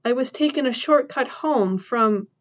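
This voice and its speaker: A woman's voice: a little high, highs cut off, pitched above the performer's own voice, lightly acted. A woman voicing a scared, injured boy who stammers defensively. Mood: nervous. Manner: halting, nervous stammer.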